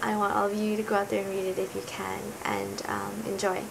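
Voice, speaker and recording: A teenage girl talks through a heartfelt book review with a raspy, gravelly voice. She rambles earnestly and keeps reaching for the right words. A steady rumbling noise sits clearly under the recording. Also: a little fast. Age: teens. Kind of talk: casual book review vlog. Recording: noticeable rumbling background noise.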